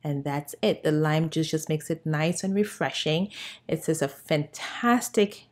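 A woman's voice: low voice, unhurried. Upbeat woman hosting a cooking tutorial. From Cameroon. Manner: upbeat, friendly, instructive. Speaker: cooking channel host. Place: bright home room with plants.

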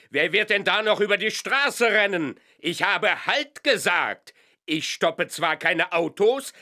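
Bossy little red light figure, high and forceful, slow scolding. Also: male voice, full character voice. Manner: scolding, emphatic. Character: strict little red pedestrian traffic-light man.

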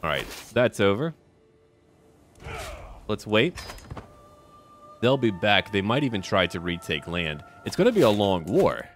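A man's voice: on the low side, very animated, unhurried, long pauses. A man giving casual running commentary on a strategy game, talking to himself and to the game's characters. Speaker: gameplay video host. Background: music.

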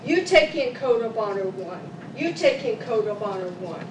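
High-voiced woman questioning from the audience. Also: lively intonation, normal speed. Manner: loud and questioning. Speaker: audience member at public hearing. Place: council chamber, speaking from the audience. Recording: distant voice in echoey noisy room.

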